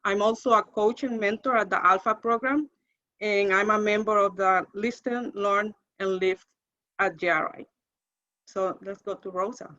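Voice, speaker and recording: A woman from Honduras introduces herself over a muffled video call. Her voice is bright and crisp, and she speaks steadily and matter-of-factly. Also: mid-range voice, fairly even intonation, normal speed. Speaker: program director. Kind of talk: panel self-introduction.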